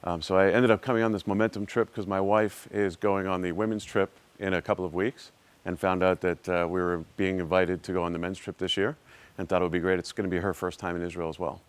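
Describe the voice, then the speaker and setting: A man's voice: deep voice, flat delivery, fast talker. A man in his forties with an American accent, giving an unscripted, reflective and earnest personal account. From Massachusetts, United States. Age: 40s. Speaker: trip participant giving a testimonial.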